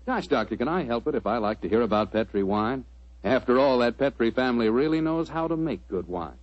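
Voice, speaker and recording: A man's voice: medium pitch, animated, brisk, dry recording. Dry-sounding American radio announcer, man. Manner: folksy, salesmanlike. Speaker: radio announcer and sponsor pitchman. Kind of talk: 1940s radio advertising patter. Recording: dull, lo-fi 1940s radio recording.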